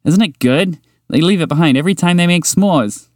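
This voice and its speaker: A man voicing a small, excitable, chatty mouse in a bedtime story, eager and bubbly. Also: a little high, no echo, clean studio sound, voice pushed up, natural voice. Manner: eager, bubbly, quick to laugh. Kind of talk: storybook character voice.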